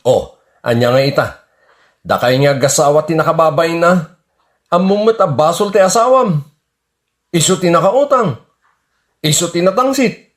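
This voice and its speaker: A frank Ilocano buddy, acted by a man with a notably high male voice. The delivery is chiding and matter-of-fact, in radio comedy drama style. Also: expressive, normal speed, pauses often, lightly acted. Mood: exasperated.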